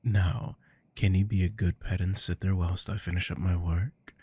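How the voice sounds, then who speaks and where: A man playing a possessive, dominant boss in an intimate ASMR roleplay, teasing and commanding in a deep, gravelly murmur. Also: little pitch movement, moderate pace, dark tone. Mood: smug, coaxing. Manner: commanding, coaxing, low murmurs slipping into whispers.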